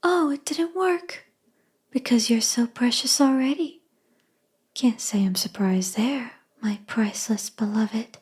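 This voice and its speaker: A woman voicing a doting, clingy yandere kitsune wife in an intimate ASMR roleplay, mostly in a soft, tender whisper. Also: fairly high pitch, slightly rough. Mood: playful, doting. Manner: tender, slow, cooing affection.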